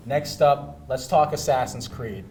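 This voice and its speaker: A man's voice: medium pitch, measured pace. A man hosting a casual panel talk about pop culture, conversational and steering things along with questions. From Canada. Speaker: talk show host. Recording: music playing behind the voice. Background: background music.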